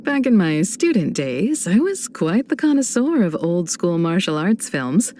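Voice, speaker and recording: Casting: composed female mentor and star traveler for a game; very slow, dark-toned delivery, playful warmth, measured and thoughtful. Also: on the low side, sing-song, slight background noise. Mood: wry, confident. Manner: measured, reflective, gently teasing. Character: composed adult woman space traveler, warm mentor, playful. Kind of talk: video game character voice lines.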